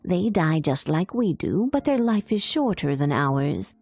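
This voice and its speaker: A woman voicing a wise, matter-of-fact old mermaid grandmother in a storybook, measured and discouraging. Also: low-pitched, sing-song, darkish tone, slightly rough, faint music behind, full character voice. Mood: explaining, discouraging.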